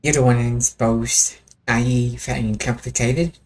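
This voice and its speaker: A man's voice: mid-range voice, fairly even intonation, slow, a little mellow, slight background noise. A man live-streaming a video game with casual, brief commentary.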